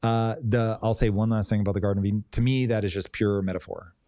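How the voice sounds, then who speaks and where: A man hosting a live podcast, talking casually and bluntly, with the odd swear word and drawn-out emphatic points. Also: on the low side, fairly even intonation, warm tone, no room sound, clean studio sound. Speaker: podcast host. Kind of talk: casual live-stream talk.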